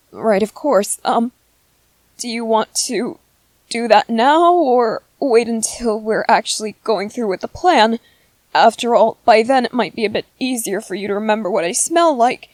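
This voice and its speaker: Woman doing a soft-spoken clever young soldier guy, asmr roleplay, slow and polite, lots of little ums, dry close sound. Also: fairly high pitch, dry recording. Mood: flustered.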